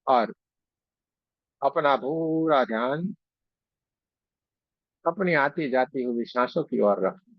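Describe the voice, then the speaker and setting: Slow, creaky-voiced male meditation guide. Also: medium pitch, lively intonation, dry recording, dull audio. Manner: gentle, unhurried, devotional, phrase by phrase. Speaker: meditation guide and Reiki teacher. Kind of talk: guided meditation, slow spoken instruction with pauses.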